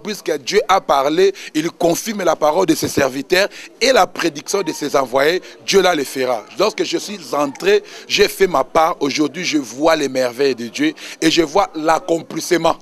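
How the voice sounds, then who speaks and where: A man's voice: on the high side, normal speed, a bit bright. A man from the Congo with a Congolese accent, an apostle giving an emphatic personal testimony in a religious interview. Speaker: apostle, church minister.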